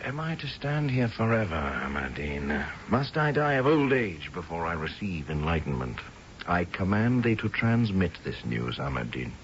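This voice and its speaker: A young colonial army officer in an old radio play, swooping widely in pitch. He is imperious, exasperated and theatrically sardonic, heard through dull sound with faint noise. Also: big pitch swings, normal speed. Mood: impatient. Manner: theatrical, mock-archaic, sardonic. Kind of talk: radio drama acting.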